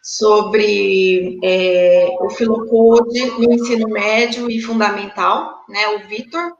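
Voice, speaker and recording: A woman's voice: mid-range voice, fairly even intonation, slow, echoey room, lo-fi sound, a little hiss or hum. A woman moderating a panel over a video call, reading out audience questions with hesitant filler sounds. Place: home room, indoors.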